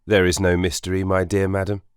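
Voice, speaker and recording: An actor playing a courteous, self-assured Victorian gentleman detective in an audiobook drama, precise and soothing. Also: low-pitched, monotone, a little fast, clear, resonant tone, no echo, studio quality, natural voice. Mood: confident, deductive. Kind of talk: full-cast audiobook drama acting.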